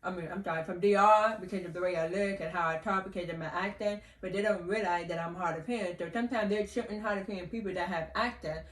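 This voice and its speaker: Fast-talking hard-of-hearing woman. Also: lowish voice, steady tone. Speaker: podcast host. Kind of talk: casual family chat. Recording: echoey room from a distance.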